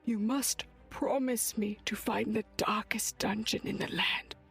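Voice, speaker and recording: A secretive, weary witch of dark fantasy audio drama. Her voice is very husky and creaky, often close to a whisper. She speaks slowly and haltingly, with grave weight. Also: mid-range voice, fairly even intonation, faint music behind, exaggerated voice. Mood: weary, pleading. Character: weary, dying foreign witch, secretive and solemn.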